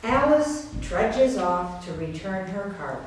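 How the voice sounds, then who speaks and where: Slow, expressive woman narrator in echoey room. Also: mid-range voice. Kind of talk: scripted dramatic narration. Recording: very echoey room, distant mic, faint noise.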